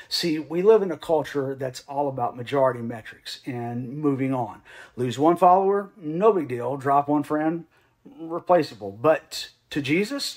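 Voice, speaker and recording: A middle-aged man hosting a show with a comedic, punchy monologue, animated and playful with slang. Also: medium pitch. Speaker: host of a Bible commentary show. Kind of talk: comedic scripted monologue with asides.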